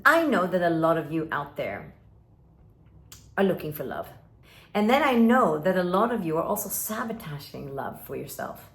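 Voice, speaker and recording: A woman's voice: lowish voice, sing-song, normal speed. A Swedish woman in her fifties with an American-influenced English accent, giving earnest, emphatic coaching talk to camera and acting out inner voices. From Sweden. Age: 50s. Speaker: relationship coach. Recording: roomy echo.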